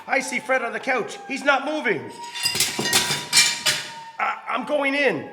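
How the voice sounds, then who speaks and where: An actor playing a brave, commanding deputy fire chief barking orders in a radio drama. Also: male voice, very high voice, very animated, takes it slowly, vocal fry, heavy background noise, full character voice. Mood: urgent, commanding.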